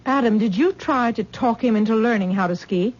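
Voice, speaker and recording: An actress playing a sharp-tongued older mother and grandmother who scolds and insists, in a 1970s radio drama. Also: a little high, a little fast, lightly acted. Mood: scolding. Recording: dull old radio broadcast recording.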